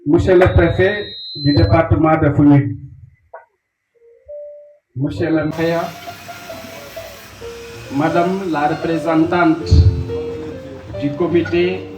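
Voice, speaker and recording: A man with a Senegalese accent making a measured, formal address to officials at a ceremony. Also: fairly high pitch, steady tone. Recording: echoey hall with faint music.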